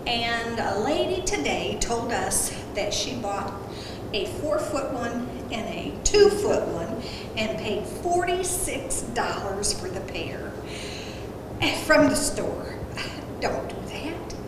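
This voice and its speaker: A woman walks through a holiday craft step by step, folksy and instructive, her talk unhurried. She sounds set back from the mic in a very echoey room with long reverb and loud background noise. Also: fairly high pitch, big pitch swings, far from the mic. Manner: plain-spoken, instructive, folksy. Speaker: craft demonstrator. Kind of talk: casual how-to demonstration. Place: senior center room.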